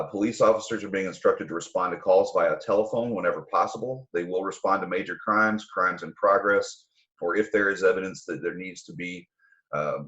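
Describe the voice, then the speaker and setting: Low-voiced man reading public announcements. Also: a bit bright, dull audio. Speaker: mayor giving a public address. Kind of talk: formal public announcement read from prepared notes.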